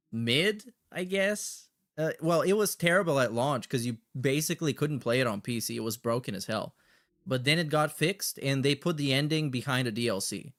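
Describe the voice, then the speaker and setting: A man's voice: mid-range voice, a bit bright, no echo, clean studio sound. A man hosting a livestream with casual, slangy, sweary commentary and a sarcastic edge. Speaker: livestream host. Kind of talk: casual chat with shout-outs and commentary.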